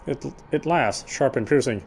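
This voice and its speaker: A man's voice: medium pitch, moderate pace, music in the background. A man reading a game's story narration aloud in a dramatic storytelling style.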